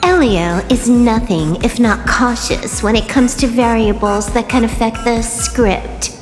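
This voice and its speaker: A woman's voice: fairly high pitch, measured pace, close to an everyday voice. An actress playing a cocky, taunting young woman hunter in a game cutscene, self-assured and scheming. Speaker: game heroine. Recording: long hall reverb with music behind.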